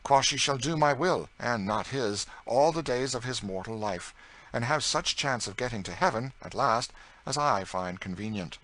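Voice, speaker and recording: A man reading a teasing, witty gentleman. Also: mid-range voice, animated, moderate pace, voice pushed up, natural voice, poor audio. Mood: impassioned. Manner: bantering, ironic, then impassioned. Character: lazy, witty, teasing gentleman, young adult. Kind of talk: audiobook character voice.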